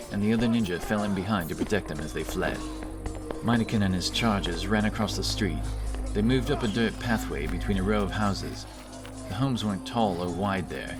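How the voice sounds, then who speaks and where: A man narrating a scripted fantasy audiobook in a measured, dramatic way. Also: on the low side. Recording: music bed under voice.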